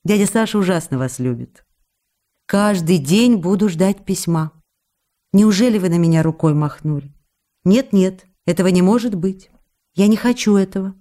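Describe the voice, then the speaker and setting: A woman's voice: mid-range voice, moderate pace, mellow, close to an everyday voice. A woman in a radio play voicing a young actress who writes playful, coaxing, reproachful letters to a writer. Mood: pleading. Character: young actress writing teasing, pleading love letters. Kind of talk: radio drama letter reading.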